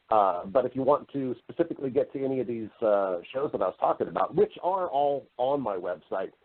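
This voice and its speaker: Male interview guest, lowish pitch, affable, explanatory, casual delivery. Thin phone-line sound. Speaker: podcast guest, voice-over artist and narrator. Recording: muffled band-limited internet radio call.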